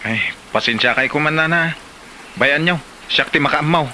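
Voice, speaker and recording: A man's voice: fairly high pitch, moderate pace, pushed and bright. A man playing an apologetic, conciliatory village husband in a comedy drama, with an Ilocano accent. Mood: placating. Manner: pleading, conciliatory. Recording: slight background noise.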